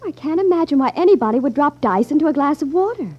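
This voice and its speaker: Naive girlish sister, very high lilting voice, gushing, innocent, 1950s radio drama, dulled old recording. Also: lively intonation, quick pace, warm tone, no room sound, lo-fi sound. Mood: innocent, puzzled. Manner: wide-eyed, gushing, innocent. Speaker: radio actor.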